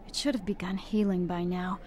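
A woman's voice: fairly low pitch, little pitch movement, fairly quick, warm tone, natural voice. An actress playing an earnest, caring young female Jedi apprentice in an audio drama. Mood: concerned.

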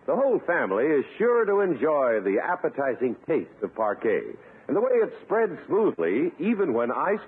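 A man's voice: on the high side, slightly rough. A man announcing in 1950s radio style, reading scripted sponsor copy and narration in a polished, emphatic, salesmanlike way. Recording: tinny 1950s radio recording. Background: music.

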